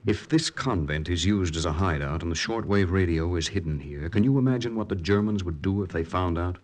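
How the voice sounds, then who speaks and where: An actor playing an earnest young wartime underground lieutenant in 1940s radio drama style, measured and grave. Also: male voice, sits low, expressive. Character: earnest male wartime underground lieutenant narrating his mission. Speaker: actor and first-person narrator. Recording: vintage 1940s radio recording.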